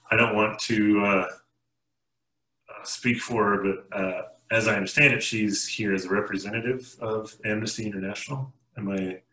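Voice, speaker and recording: Low, bright-voiced man moderating, roomy sound. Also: fairly even intonation, normal speed. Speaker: panel moderator. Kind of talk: moderating a video panel discussion. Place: small room at home, on a video call. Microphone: headset. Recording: roomy, echoey video-call audio.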